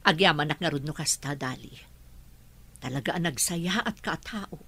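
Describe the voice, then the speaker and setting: A Filipino actress in a radio drama playing a concerned middle-aged mother and lady of the house, measured and earnest. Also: low voice, fairly bright tone, exaggerated voice. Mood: worried, earnest. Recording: clearly noisy, ambient background.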